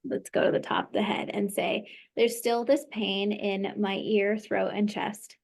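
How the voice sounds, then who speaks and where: A woman's voice: mid-range voice, flat delivery, measured pace, creaky. Encouraging woman guiding a tapping session. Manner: encouraging, leads phrases for repeating. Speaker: tapping practitioner.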